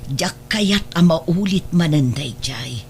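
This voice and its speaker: A woman's voice: low voice, lively intonation, unhurried, vocal fry, darkish tone, exaggerated voice. A woman acts as an older mother in a radio drama, protective, suspicious and full of worry. She admonishes in a motherly way. There is a faint hum behind the voice. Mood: admonishing.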